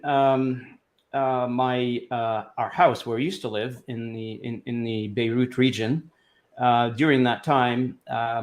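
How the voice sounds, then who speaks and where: Rambling man telling his story. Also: mid-range voice. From Lebanon. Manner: rambling, many fillers and restarts. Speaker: guest. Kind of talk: relaxed interview storytelling.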